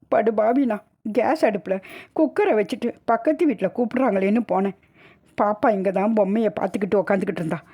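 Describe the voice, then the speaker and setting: A woman voicing a grief-stricken old caretaker, sobbing and halting in rustic, colloquial speech in a dramatised audio story. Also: mid-range voice, dark tone, voice pushed up, close to an everyday voice.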